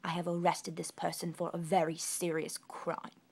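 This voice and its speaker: A woman's voice: lowish voice, moderate pace, vocal fry, husky. Woman doing a gloomy, stern storybook soldier. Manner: gloomy, flat, official. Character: gloomy, stern, dutiful male castle soldier.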